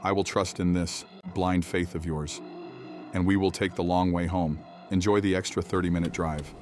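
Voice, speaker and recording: Man acting a low-voiced, obsessive husband. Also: steady tone, normal speed, darkish tone, slight background noise, lightly acted. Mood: wry, puzzled. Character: husband growing obsessed with messages from God, desperate. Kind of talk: old-time radio style horror audio drama acting.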